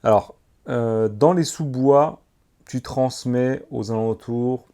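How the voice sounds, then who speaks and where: Guy vlogging, casual slangy gear review, mid-pitched voice, talks to you like a mate. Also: slow pace, mellow. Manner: informal, slangy, addresses the viewer familiarly. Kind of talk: casual product review to camera.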